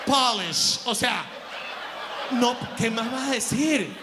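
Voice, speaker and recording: A man's voice: very high voice, expressive, takes it slowly, noisy background. A man, doing rambling stand-up comedy on a theater stage with a handheld mic, jumping into exaggerated put-on quotes. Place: large theater stage with live audience.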